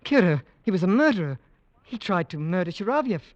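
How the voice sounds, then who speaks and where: An actor playing a concerned, reasoning gentleman in a 1940s radio drama, articulate and emphatic. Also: high voice, sing-song, a little fast, no room sound. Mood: alarmed. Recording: dull, dry old radio recording.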